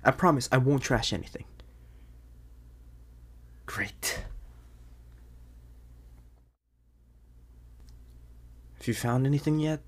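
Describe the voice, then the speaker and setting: A young man playing an anxious, bewildered teenage boy turning werewolf in an ASMR audio roleplay, speaking haltingly in a husky, breathy half-whisper. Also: medium pitch, natural voice. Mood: nervous.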